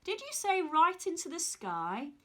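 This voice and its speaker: A woman's voice: very high voice, wildly swooping pitch, a little slow, pushed and bright, voice pushed up, exaggerated voice. A woman voicing a cheerful, cocky country boy in a storybook reading. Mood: amazed.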